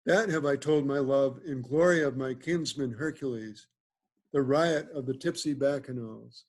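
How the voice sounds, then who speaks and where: A man's voice: mid-range voice, normal speed, natural voice. A man reading the part of a lordly, genial ruler in Shakespearean verse at an amateur table read over video call. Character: lordly, genial male ruler of Athens, a bridegroom. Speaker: amateur reader in a play.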